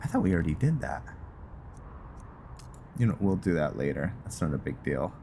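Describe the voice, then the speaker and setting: A man hosting a gaming channel, giving casual roleplay commentary in a halting way, thinking aloud as he plays. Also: very deep voice, big pitch swings, dark tone, slight background noise.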